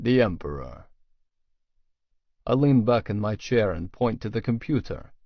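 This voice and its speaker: A man's voice: on the low side, animated, a little slow, no echo. A man reading first-person fiction as an audiobook narrator, in a scripted storytelling style. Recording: dry, slightly dull studio sound.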